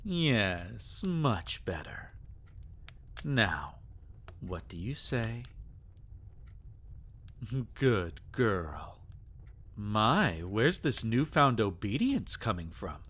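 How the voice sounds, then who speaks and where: A man's voice: on the low side, big pitch swings, very slow, pauses often, fairly bright tone, full character voice. A man acting as a sadistic, possessive vampire lord in an intimate ASMR roleplay, teasing and taunting with theatrical, menacing endearments. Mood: seductive, mocking.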